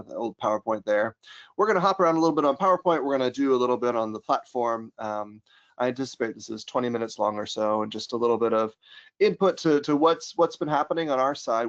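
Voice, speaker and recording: A man's voice: mid-range voice, a little fast. Casual American man leading a webinar. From United States. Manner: casual, many ums and restarts, upbeat when introducing topics. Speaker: trainer and pedagogical consultant. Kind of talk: webinar software walkthrough. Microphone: computer mic on a video call. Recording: slightly dull webinar audio.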